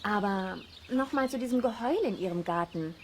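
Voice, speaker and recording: Birds and light background noise surround an actress playing a female biologist in a children's audio drama. She is polite and knowledgeable, explaining things in a friendly, gently sceptical way at a middle pitch. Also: animated, moderate pace, close to an everyday voice. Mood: friendly, doubtful.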